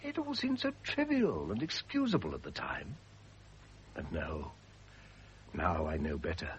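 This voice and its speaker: An actor playing a condemned, remorseful philanderer in a radio drama, halting and impassioned as he confesses. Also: low voice, sing-song, heavily acted. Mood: bitter remorse. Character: anguished condemned man, remorseful philanderer awaiting his end. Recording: muffled old radio drama recording, faint hiss.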